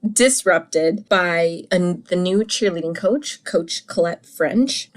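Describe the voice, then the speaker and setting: A woman hosting a solo podcast, chatting in an animated, casual way, a little congested and coughing after a cold. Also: on the low side. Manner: chatty, animated, laughs and restarts often. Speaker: podcast host.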